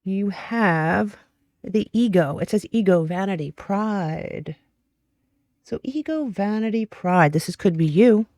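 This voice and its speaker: Woman doing a laid back tarot reading, soft dark voice, really dry sound, blunt and chatty. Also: mid-range voice, animated, slow, no room sound. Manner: direct, informal, mildly salty, frequent check-in questions. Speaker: tarot reader and astrology host. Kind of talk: casual conversational tarot reading.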